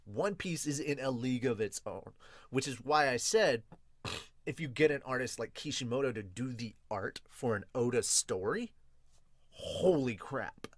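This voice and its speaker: A man hosting a podcast with casual, rambling review commentary, excitable and sweary. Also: medium pitch, moderate pace, no echo, studio quality. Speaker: podcast host and manga reviewer.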